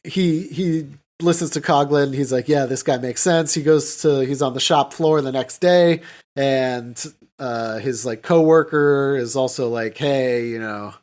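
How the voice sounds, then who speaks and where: A male podcast co-host talks through an old film in a casual, mocking way. His pitch sits in an ordinary middle range, and he slips into informal paraphrases of the characters with some swearing. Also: measured pace, darkish tone.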